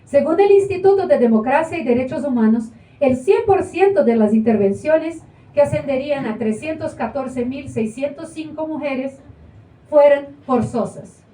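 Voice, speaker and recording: A woman's voice: sits high, lively intonation, normal speed, warm tone. A woman acting in a live stage play, questioning and arguing her point. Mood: lecturing. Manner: questioning, argumentative. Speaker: stage actor. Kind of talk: live theater performance. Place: small indoor theater. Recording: roomy, distant stage recording.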